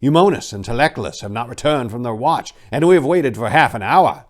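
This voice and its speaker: A male reader plays a dutiful young Spartan guard in a dramatic tale. He speaks formally and anxiously to his superior, in a medium-pitched, animated voice. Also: fairly quick, natural voice. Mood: urgent. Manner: urgent, formal, deferential.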